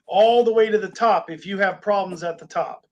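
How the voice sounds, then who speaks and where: Guy doctor explaining things calm and simple, pretty high voice. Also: normal speed, muffled recording. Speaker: guest doctor. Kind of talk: casual health talk show chat.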